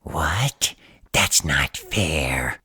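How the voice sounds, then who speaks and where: A man playing a scheming, indignant gremlin villain in a fantasy audio drama, with a raspy, breathy half-whisper. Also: very deep voice, lively intonation, drawn-out words, bright, forceful tone, exaggerated voice. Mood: aggrieved, defiant.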